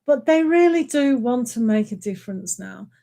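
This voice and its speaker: A woman reading tarot cards live for viewers, chatty and full of ums, her voice hoarse from hours of talking. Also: on the high side, expressive, moderate pace, dark tone. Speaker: tarot reader.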